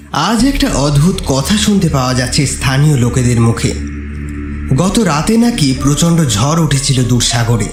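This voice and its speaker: Male narrator for dramatic horror audio story; animated intonation, echoey sound over music. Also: on the high side.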